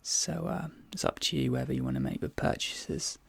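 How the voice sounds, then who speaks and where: A man speaking softly and breathily in an ASMR dentist role play, hesitant and often slipping into whispers. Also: no echo. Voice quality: breathy, soft. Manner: hesitant, halting, drifting into whispers.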